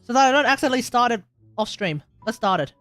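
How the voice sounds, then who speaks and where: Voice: medium pitch, animated, quick pace, sharp tone, soft background music. A streamer with an Australian accent talking casually through a game live stream, rambling and often correcting themselves. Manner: rambling, self-correcting, chatty.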